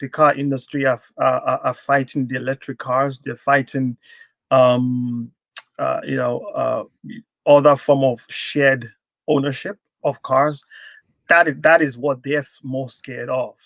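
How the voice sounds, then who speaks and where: A man's voice: medium pitch, flat delivery, a little slow, gravelly, fairly bright tone, dry recording. A man hosting a live stream talk show, answering in a thoughtful, agreeable way. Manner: thoughtful, agreeable, backchannels a lot. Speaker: host. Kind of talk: casual live stream chat.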